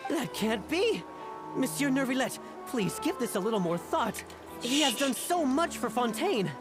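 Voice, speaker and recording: Indignant opinionated game citizen lady, argumentative, very animated tone, music underneath. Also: high-pitched, normal speed. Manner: emphatic, argumentative. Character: opinionated, indignant female member of the public. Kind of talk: dramatic video game acting.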